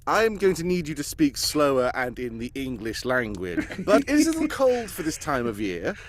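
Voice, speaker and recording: A man's voice: mid-range voice, wildly swooping pitch, moderate pace, a little hiss or hum. A man playing an arrogant, droll detective in a live comic read of an old-time radio mystery, theatrical and full of wry asides. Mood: haughty, droll.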